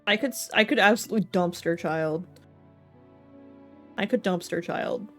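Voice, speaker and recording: A woman's voice: fairly low pitch, normal speed, fairly bright tone. Playful rambling woman streamer over game music. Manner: playful, rambling, thinking aloud, silly slang. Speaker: livestreamer. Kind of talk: casual gaming stream chat.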